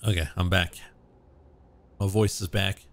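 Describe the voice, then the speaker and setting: A young man streaming a game, chatting casually and joking with viewers, his throat a little hoarse. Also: deep voice, steady tone, unhurried. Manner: joking, self-mocking, musing aloud. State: slightly hoarse. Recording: faint game music behind.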